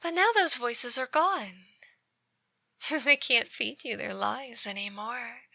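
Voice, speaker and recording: A woman playing an obsessive yandere ex-girlfriend in an ASMR roleplay, speaking with menacing sweetness and slipping into breathy whispers. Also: fairly high pitch, slow, pushed and bright, no room sound, clean studio sound, exaggerated voice. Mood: menacing, possessive. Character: obsessive yandere ex-girlfriend stalking her former lover. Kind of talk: ASMR roleplay, scripted monologue.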